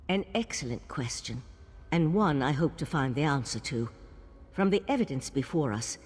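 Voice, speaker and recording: A woman voicing a composed, inquisitive mage of an ancient monastic order in a fantasy game, measured, formal and articulate. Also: low-pitched, darkish tone, slightly rough, full character voice. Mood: grave, analytical. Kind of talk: scripted fantasy game dialogue. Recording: long reverb, faint background noise.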